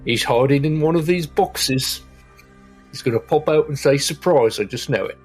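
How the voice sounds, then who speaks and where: A man's voice: fairly high pitch, moderate pace, breathy edge, faint music behind. A man playing a tabletop game, joking and getting flustered as the talk goes sideways. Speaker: player. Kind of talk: casual tabletop roleplay.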